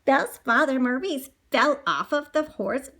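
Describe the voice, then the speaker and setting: A woman's voice: high-pitched, expressive, a little slow, very noisy. A woman reading a children's story aloud as a storyteller, theatrical and improvising as she goes.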